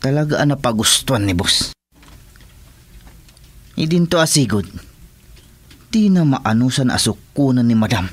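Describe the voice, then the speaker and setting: A man's voice: medium pitch, very animated, unhurried, pauses often, no room sound. An actor with an Ilocano accent playing a complaining henchman of a gambling boss in a radio drama. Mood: grumbling. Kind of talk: Filipino radio drama acting.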